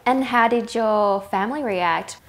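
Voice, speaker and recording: A woman journalist asking an interviewee questions in a measured, direct way. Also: on the high side.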